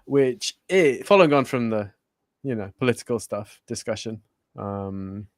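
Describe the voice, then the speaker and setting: A man co-hosting a relaxed talk show, chatting loosely and breaking into laughter. He often trips over his words and restarts them. His mid-range voice sounds dry and close to the mic. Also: medium pitch, unhurried, no room sound. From born in Germany, raised in Spain. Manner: laughs often, self-correcting. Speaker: co-host. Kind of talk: casual chat.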